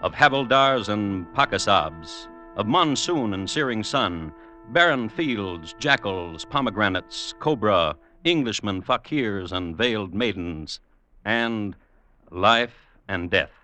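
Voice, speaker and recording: A man hosting and narrating in 1950s radio style, with a dry, droll, deliberate, mock-formal delivery. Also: lowish voice, expressive, bright, forceful tone. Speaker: host and narrator. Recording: old radio recording with music behind. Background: music.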